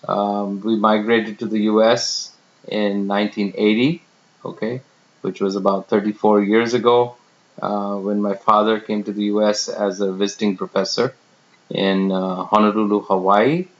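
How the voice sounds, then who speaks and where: Man from India in his fifties, low voice, slow self-introduction full of fillers, dull lo-fi recording. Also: little pitch movement. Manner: unhurried, many fillers and pauses. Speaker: real estate professional. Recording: dull lo-fi sound.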